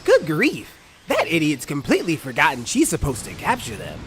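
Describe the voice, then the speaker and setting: A man's voice: on the high side, wildly swooping pitch, moderate pace, music in the background. A man playing a snide, sneaky, scheming observer in an anime fan dub, with an exasperated, theatrical manner. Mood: exasperated, sly.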